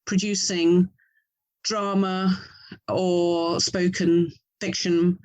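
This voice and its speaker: A woman's voice: lowish voice, level tone, extremely slow, a little mellow, low-quality recording. A woman with an English accent, explaining things casually as an interview guest. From England. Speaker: interview guest, writer and audio producer.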